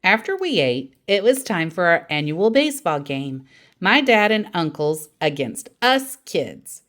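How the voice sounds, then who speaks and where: A woman reading a children's story aloud as an animated storyteller, acting out the dialogue expressively. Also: on the low side, unhurried. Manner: acts out quoted dialogue expressively.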